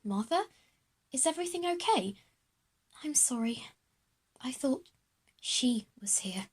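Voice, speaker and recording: A woman's voice: high voice, expressive, slow, husky, exaggerated voice. A woman voicing a polite, earnest schoolchild in a children's audio drama. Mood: anxious.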